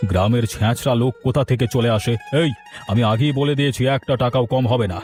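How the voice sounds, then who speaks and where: A man's voice: medium pitch, steady tone, a little fast, darkish tone, faint music behind, natural voice. A man playing a greedy, haughty village landlord in a melodrama, blustering and bossy. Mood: angry, threatening. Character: greedy, haughty village landlord, bullying his servant and tenants. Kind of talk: melodramatic Bengali village audio drama.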